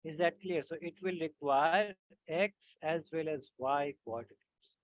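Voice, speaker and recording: A male teacher leads an online physics class in a slightly raised voice. He talks slowly and haltingly, filling gaps with "uh" and checking in with "right?" The sound is thin and phone-like, with no room echo. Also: on the high side. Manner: halting, many fillers, keeps checking with "right?". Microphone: video call. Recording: tinny dry call audio.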